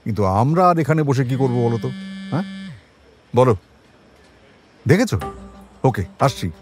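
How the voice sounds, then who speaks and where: A male actor playing a shrewd, world-weary senior detective in a crime audio drama, with a measured, wry, colloquial delivery. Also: medium pitch, animated, faint music behind, lightly acted. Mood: calm, decisive.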